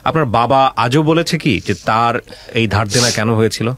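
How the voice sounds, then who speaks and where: A man's voice: medium pitch, normal speed, no room sound. A man hosting a talk show, interviewing a guest and earnestly offering advice. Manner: earnest, gently advising. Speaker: radio show host. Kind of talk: interviewing a guest and reflecting on his story.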